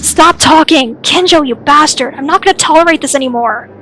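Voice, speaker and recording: A woman's voice: sits high, brisk, a bit bright, soft background music. A woman voicing a hot-tempered, indignant young student in a drama. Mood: furious.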